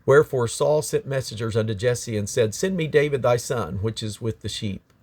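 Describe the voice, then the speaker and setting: A man with a medium-pitched voice reads scripture aloud in a close, dry recording. He declaims the old-fashioned verse in short phrases separated by pauses, now and then tripping slightly over the wording. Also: darkish tone, dry recording. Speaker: Bible reader. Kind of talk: scripture read aloud from the King James Bible.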